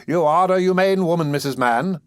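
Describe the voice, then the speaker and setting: A man's voice: on the high side, brisk, clean tone, dry recording, clean studio sound, pitched above the performer's own voice, natural voice. A man doing a pompous, choleric beadle. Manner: pompous, self-important oratory. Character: fat, choleric, pompous male parish beadle.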